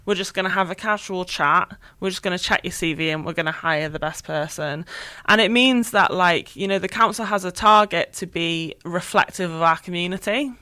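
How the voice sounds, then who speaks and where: A woman's voice: lowish voice, normal speed, sharp tone, clean tone, no echo. A woman, speaking as an interview guest and giving chatty, earnest career advice with frequent "you know"s. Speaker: interview guest, council officer. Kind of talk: casual conversational advice.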